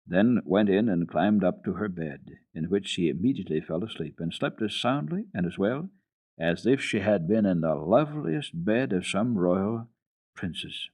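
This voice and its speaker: A man reading a children's story for radio in an expressive, deliberate, theatrical way. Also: lowish voice.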